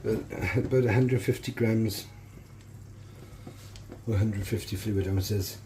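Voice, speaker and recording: A man with a slightly hoarse, croaky voice, talking casually through each cooking step in a home kitchen. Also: lowish voice, slow, background noise. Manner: relaxed, chatty step-by-step talk. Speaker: home cook. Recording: frying sizzle behind voice.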